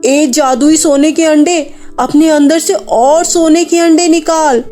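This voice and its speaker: A woman's voice: sits high, fairly even intonation, takes it slowly, mellow, soft background music. A woman playing a greedy, nosy, scheming village mother in a Hindi cartoon story, with emphatic delivery. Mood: gloating, greedy.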